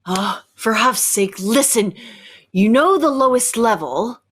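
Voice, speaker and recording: Woman as a slow, commanding rebel captor. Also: on the high side, expressive, a bit bright, husky, heavily acted. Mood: insistent. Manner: deliberate, probing, imperious. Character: commanding, knowing rebel captor challenging orthodox belief. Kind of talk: cold-read old-time radio sci-fi drama.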